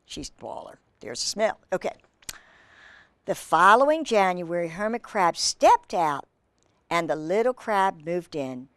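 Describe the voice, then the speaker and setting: Expressive, unhurried woman storyteller reading to children. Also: fairly low pitch, no room sound. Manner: asks listeners questions, exclaims over pictures. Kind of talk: expressive read-aloud with asides to young listeners.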